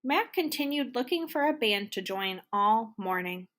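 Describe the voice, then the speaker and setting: A woman narrates a children's storybook expressively. Her pitch is lively and varied, and she takes her time, phrasing deliberately.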